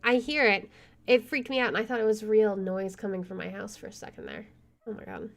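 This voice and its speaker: A woman's voice: medium pitch, fairly quick. A woman hosting a gaming video, reading visual novel narration aloud with casual asides.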